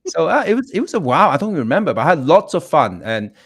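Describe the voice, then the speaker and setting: A man's voice: on the high side, very animated, quick pace, husky. A man from England chatting casually as a guest musician, telling stories in a self-deprecating way. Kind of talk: casual chat.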